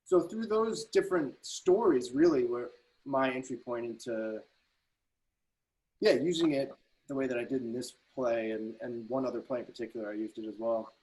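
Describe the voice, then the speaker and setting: A man speaking as a playwright in casual, reflective discussion, circling his way haltingly through explanations on a video call. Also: medium pitch, darkish tone, low-quality recording. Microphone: computer video call.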